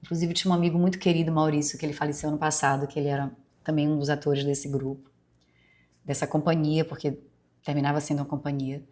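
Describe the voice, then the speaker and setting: Low-voiced Bahian woman musing aloud. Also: flat delivery, fast talker, a little mellow. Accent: Brazilian Portuguese, Bahian softened by years in Rio. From Brazil, Bahia. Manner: meandering, confiding, full of fillers and asides. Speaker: podcast host. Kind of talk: reflective personal monologue.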